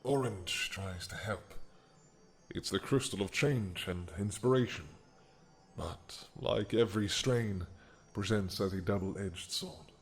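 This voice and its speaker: Guy doing an old regretful dragon king, real low voice, slow soft musing w sighs, audio roleplay. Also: faint music behind. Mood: brooding. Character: ancient male dragon king, regretful, brooding.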